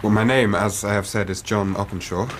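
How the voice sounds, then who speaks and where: A man acts an earnest young English client in a period radio drama. His voice is quite deep, his telling measured and formal. There is faint noise in the recording. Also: steady tone, close to an everyday voice. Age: young adult.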